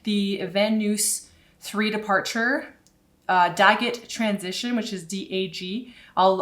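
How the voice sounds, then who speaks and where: A woman's voice: lowish voice, fairly bright tone. A woman in her thirties giving a casual tutorial as a pilot and video host, thinking aloud as she works, close to a microphone in a small room. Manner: informal, thinking aloud while working. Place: small indoor room at home. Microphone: boom-arm microphone close up, headset worn.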